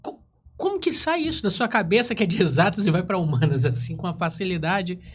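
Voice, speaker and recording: A man's voice: sits high, animated, brisk, a little hiss or hum. A man hosting a casual interview, chatting in an informal, playful and teasing way. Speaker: interview host.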